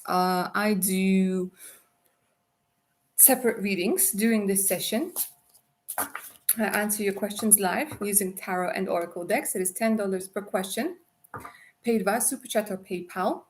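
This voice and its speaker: A woman's voice: mid-range voice, measured pace, long pauses, a little hiss or hum. A woman doing a live tarot reading on a stream, chatty and affectionate, calling viewers darling. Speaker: tarot reader.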